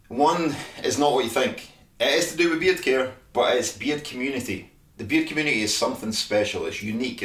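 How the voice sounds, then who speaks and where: A man's voice: on the low side, echoey room, distant mic. A Scottish man casually demonstrating beard care products, checking in often with "all right?". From Scotland. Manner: chatty, frequent "all right?" tags. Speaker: beard care brand host.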